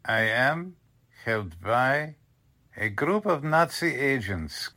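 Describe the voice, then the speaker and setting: A man's voice: medium pitch, sing-song, takes it slowly, bright, forceful tone, heavily acted. A man playing a smugly polite, condescending Nazi commander in a 1940s-style radio drama, speaking formally. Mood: cold, impatient.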